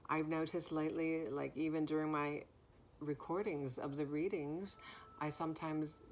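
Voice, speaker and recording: A woman giving a spiritual message in a gentle, soothing way, with a naturally deep voice that is somewhat hoarse. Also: steady tone, moderate pace, poor audio. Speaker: spiritual card reader. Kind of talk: spiritual message, slow and reflective.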